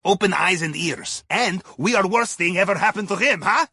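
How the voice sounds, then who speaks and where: Raspy, high-pitched Russian captain. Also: male voice, moderate pace, sharp tone, dry recording, clean studio sound, exaggerated voice. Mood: jovial, teasing. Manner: playful, theatrical, drops articles, tags sentences with questioning "yes?" or "huh?". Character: jovial, wry Russian submarine captain guiding a heist crew. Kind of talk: video game mission dialogue over comms.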